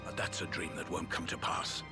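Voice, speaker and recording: A low, gruff veteran soldier from a fantasy game, acted by a man. He is grave, blunt and resigned, carrying grief, with music behind the deep voice. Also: level tone, fairly quick, close to an everyday voice. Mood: sorrowful. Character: grieving, weary, gruff middle-aged guard veteran. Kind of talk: video game voice acting.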